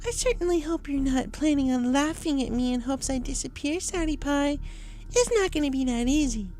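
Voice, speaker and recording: Woman performing evil female pony, high pitch, taunting, menacing, mocking storybook villain. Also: moderate pace, dark tone, soft background music, close to an everyday voice. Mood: mocking menace. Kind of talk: dramatic audio fiction reading.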